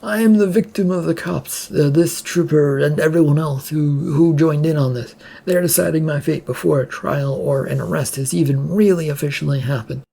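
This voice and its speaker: An indignant male suspect as a narrator plays him, protesting loudly in a raised, husky voice within a read-aloud tale. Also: medium pitch, normal speed, few pauses, pitched above the performer's own voice. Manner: loud, pleading protest.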